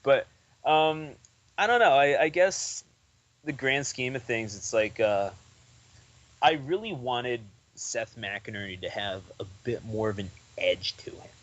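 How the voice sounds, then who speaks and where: A man's voice: mid-range voice, expressive, unhurried, fairly bright tone, highs cut off. A young man talking as a guest on a podcast, rambling loosely with lots of "like" and restarts. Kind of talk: casual interview chat.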